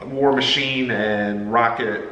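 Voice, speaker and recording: A man's voice: medium pitch, steady tone, slow pace, bright, forceful tone. A man chatting casually through a film review, rambling and opinionated as he retells things. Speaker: co-host discussing a movie. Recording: roomy echo, faint background noise.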